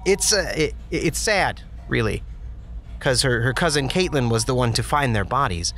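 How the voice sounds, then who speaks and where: A man's voice: medium pitch, lively intonation, moderate pace, fairly bright tone. An actor playing a chatty, joking office worker who laughs at his own puns in an audio drama. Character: goofy pun-loving male office worker Andy. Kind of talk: audio drama interview monologue.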